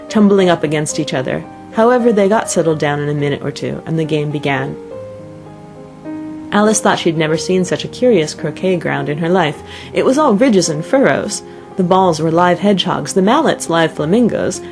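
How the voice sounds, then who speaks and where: A woman narrating an audiobook, reading a children's story expressively from a script. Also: lowish voice.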